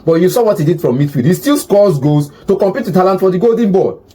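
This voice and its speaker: A man in a heated football debate, arguing and repeating himself as he builds his point; his voice is hoarse. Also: high-pitched, expressive, quick pace, dark tone. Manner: argumentative, repeats words while building a point. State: hoarse, says he has lost his voice. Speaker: football pundit.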